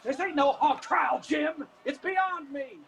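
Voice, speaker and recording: An actor playing an agitated Appalachian preacher pleading urgently for lawful order in a radio drama. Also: male voice, very high voice, takes it slowly, a crowd in the background, full character voice.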